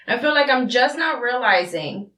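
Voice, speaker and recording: A woman's voice: a little high, expressive, normal speed, a bit bright, far from the mic. A woman in her thirties in a candid group discussion, telling her story bluntly and with passion. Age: 30s. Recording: roomy sound, away from the mic.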